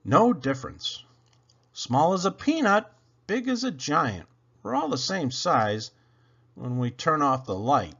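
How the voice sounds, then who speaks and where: A man reading children's poetry aloud in an animated, theatrical way with drawn-out phrasing. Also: a little high, breathy edge, highs cut off. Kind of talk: expressive read-aloud of children's verse.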